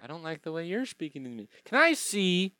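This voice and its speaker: A man's voice: fairly high pitch, very animated, a little fast, bright, forceful tone, dry recording. A man co-hosting a casual sports podcast, laid-back, with dry teasing and short replies. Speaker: podcast co-host.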